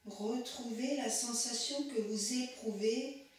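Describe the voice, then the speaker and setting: A woman guiding a yoga relaxation as an instructor, calm and measured, giving short instructions with pauses. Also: on the high side. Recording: very echoey room, distant microphone.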